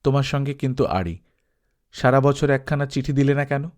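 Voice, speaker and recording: A man's voice: medium pitch, fairly quick, darkish tone, close to an everyday voice. An earnest young husband in a storybook romance, voiced by a man. He sounds eager and pressing, with a mock-offended, sulky edge. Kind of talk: storybook dialogue.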